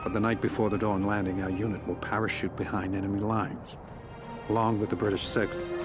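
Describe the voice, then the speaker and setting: Man playing a deep-voiced, solemn American army sergeant. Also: close to an everyday voice. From North Dakota, United States. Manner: solemn, measured, grave. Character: weary, resolute male American WWII paratrooper sergeant. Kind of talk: scripted wartime journal narration. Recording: loud music bed under narration. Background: prominent music.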